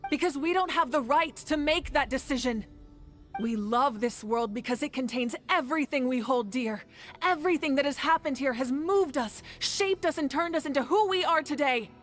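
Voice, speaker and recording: A woman's voice: sits high, fairly bright tone, soft background music. A woman playing a resolute, compassionate young leader in a fantasy game, speaking firmly and earnestly. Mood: impassioned. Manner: firm, earnest, measured. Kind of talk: scripted fantasy game acting.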